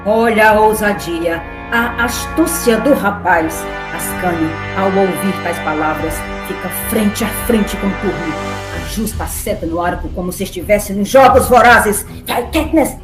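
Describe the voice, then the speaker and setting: A woman's voice: mid-range voice, a little slow, reverberant. A woman with a Brazilian accent giving dramatic scripted narration of an epic tale. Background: music.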